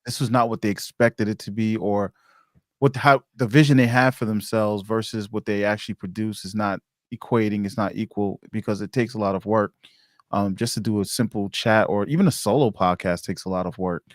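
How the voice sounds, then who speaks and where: A man with a fairly low voice hosts a relaxed interview. He feels his way through his points, often rephrasing. The sound is dry, with very little room in it. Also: fairly quick, no room sound. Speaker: podcast interviewer and editor. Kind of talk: casual conversational interview.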